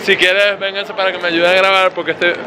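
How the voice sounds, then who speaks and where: A man's voice: high voice, flat delivery, a little fast. A young man with a Venezuelan accent, hosting a casual street interview in a teasing, playful way. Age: young adult. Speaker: vlog host. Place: busy city plaza, outdoors. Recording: noisy busy street, crowd behind.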